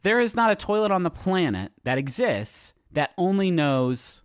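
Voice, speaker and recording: A man's voice: sits high, sing-song, moderate pace, smooth, dry recording, studio quality. A man bantering as a comedy podcast host, with an insistent, emphatic way of making his point. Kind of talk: animated comic banter.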